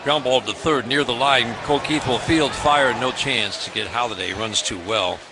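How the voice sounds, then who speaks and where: A man's voice: medium pitch, moderate pace. American male radio baseball announcer, medium register, live play-by-play, noticeable ballpark ambience behind. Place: stadium broadcast booth. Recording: clear outdoor ballpark ambience noise.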